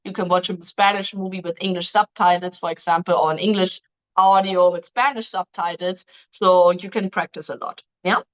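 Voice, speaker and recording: A woman's voice: lowish voice, fairly even intonation, moderate pace, lo-fi sound. Woman hosting a live Q&A. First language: German. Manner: reads questions aloud, answers in loose non-native phrasing with many ums. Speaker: dating agency host answering viewer questions. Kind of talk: live-stream Q&A, casual.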